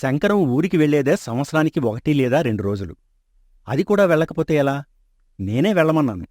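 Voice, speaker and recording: An actor voicing a rich, kindly older merchant and father in an animated story, speaking in a calm, assured, fatherly way. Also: a little high, a little fast, no echo. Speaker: shop owner. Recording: dry close studio mic.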